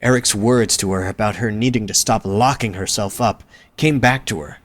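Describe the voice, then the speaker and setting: Slightly low-voiced male story narrator. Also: moderate pace.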